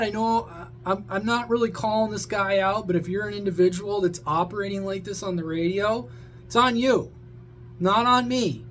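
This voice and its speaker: A man's voice: high voice, a little hiss or hum. A man talking to viewers in a casual, opinionated rant, blunt, sweary and rambling, like a hobby radio vlogger.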